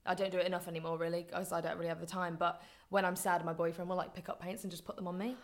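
A woman's voice: lowish voice, little pitch movement, very fast. A woman in her twenties from Liverpool with a Scouse accent, a guest chatting casually in an interview and using lots of "like" fillers. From Liverpool, England. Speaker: podcast guest, campaigner.

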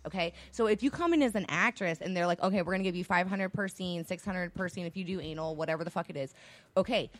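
A woman's voice: lowish voice, fast talker. Frank woman in her thirties. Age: 30s. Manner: frank, explains at length. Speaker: guest. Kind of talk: casual podcast chat.

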